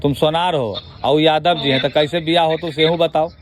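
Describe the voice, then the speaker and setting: A man's voice: a little high, fairly even intonation, normal speed, noisy background. Pressing Bihari man on a phone call. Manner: pressing, interrupting, colloquial. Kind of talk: phone conversation, informal questioning.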